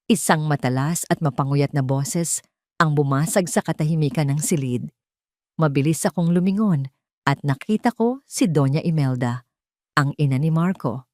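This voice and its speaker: A woman's voice: sits low, moderate pace, a little mellow, no echo, studio quality. A woman reading a scripted first-person story as a dramatic narrator. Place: dry close studio-like recording.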